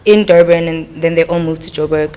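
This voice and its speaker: A young woman with a South African accent, telling her life story as a podcast guest in a relaxed, chatty way. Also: sits low, fairly quick, clean tone. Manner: chatty, relaxed, leans on fillers like "like". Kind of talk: casual interview storytelling.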